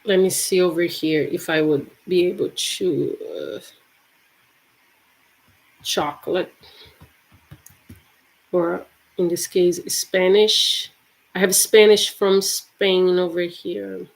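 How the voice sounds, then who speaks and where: A Portuguese-accented woman leads a casual live English class, chatting with viewers. She talks at a slow, easy pace, laughs a lot, and breaks off to say words slowly and carefully. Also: fairly low pitch, steady tone, vocal fry, low-quality recording. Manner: chatty, laughs often, repeats words slowly to show pronunciation. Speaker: English teacher. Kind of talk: live-streamed language lesson.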